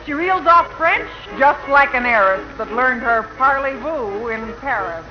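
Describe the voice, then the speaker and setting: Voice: high-pitched, lively intonation, unhurried. A performer playing a proud, folksy country parent in rhyming patter for a 1940s radio show. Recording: dull 1940 radio recording. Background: music.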